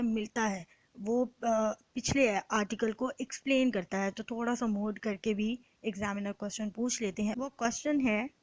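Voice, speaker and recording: A woman's voice: mid-range voice, dry recording, poor audio. A woman with a Pakistani accent giving a methodical, explanatory law lecture, often checking that listeners follow. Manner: methodical, checks understanding with short tags. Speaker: law teacher. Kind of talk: explanatory exam-prep lecture with line-by-line translation.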